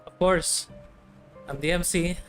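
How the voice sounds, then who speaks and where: A game streamer comments casually while playing. The voice is mid-pitched and offhand, muttering short reactions over faint game music. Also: fairly even intonation, a little slow.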